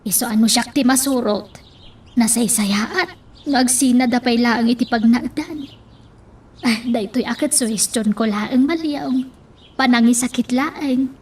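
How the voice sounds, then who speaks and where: Woman acting a high-voiced, concerned village auntie. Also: unhurried, darkish tone, slightly rough. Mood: persuasive. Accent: Ilocano. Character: concerned, persuasive older village woman, Nana Panyang. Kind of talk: Ilocano radio drama acting.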